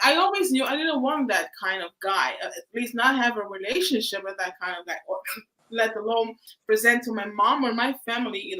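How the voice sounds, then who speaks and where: A woman's voice: on the high side, sharp tone, low-quality recording. A woman host, chatting casually on a video call, often laughing and restarting her words. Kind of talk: casual live chat.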